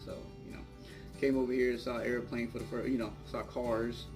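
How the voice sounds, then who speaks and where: A man's voice: mid-range voice, flat delivery, moderate pace. A man in his late twenties from Bangladesh, bluntly telling his personal faith testimony in a casual, rambling way. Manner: blunt, candid, rambling with fillers. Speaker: testimony speaker. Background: guitar music.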